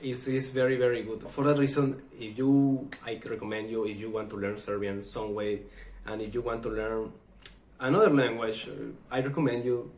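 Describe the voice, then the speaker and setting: A man from Mexico speaks English with a Mexican Spanish accent, eager and chatty, with halting non-native phrasing. His voice sits in a middle pitch. The room around him is echoey. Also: fairly even intonation, a little mellow, slight background noise. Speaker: vlogger. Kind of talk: casual talk to camera.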